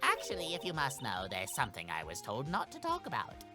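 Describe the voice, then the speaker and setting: Man acting out a nagging old woman, huffy, rambling, scolding, indignant game witness, extremely animated pitch, music clearly behind. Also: fairly quick. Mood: huffy confiding.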